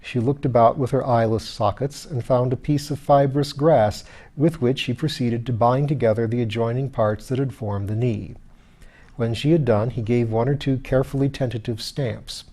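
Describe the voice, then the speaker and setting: A man's voice: medium pitch, fairly even intonation, moderate pace, dark tone. A man reading literary fiction aloud as an audiobook narrator. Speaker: audiobook reader.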